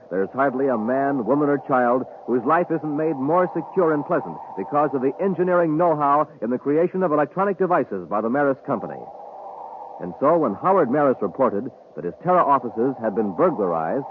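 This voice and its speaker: A man's voice: medium pitch, phone-quality sound. A man with an American accent announcing and narrating a 1950s radio serial with dramatic, enthusiastic salesmanship. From United States. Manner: dramatic, hard-sell enthusiasm. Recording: thin, hissy 1950s radio recording.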